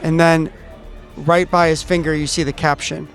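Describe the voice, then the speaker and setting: A man playing a tabletop game, improvising narration and character lines in a halting, stammering way. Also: on the high side, level tone, a bit bright, faint music behind. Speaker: player in a tabletop game podcast. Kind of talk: improvised storytelling, acting out a character.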